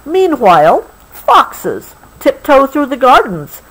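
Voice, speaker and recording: An American woman reading a picture book aloud to a child, expressively, pausing patiently to explain things in a casual way. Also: sits high, wildly swooping pitch, a bit bright, a little hiss or hum, rough recording.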